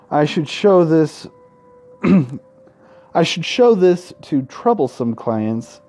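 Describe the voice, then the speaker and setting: A man's voice: fairly high pitch, warm tone. A man reading a visual novel aloud as a let's-play host, with casual, profane asides. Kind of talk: reading game text aloud with casual commentary.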